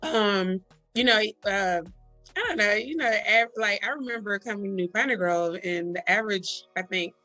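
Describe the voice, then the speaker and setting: A woman's voice: mid-range voice, normal speed, sharp tone, no echo, faint music behind. A woman minister who is a guest on a podcast, talking casually, often affirming what the host says and laughing easily. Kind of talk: casual chat.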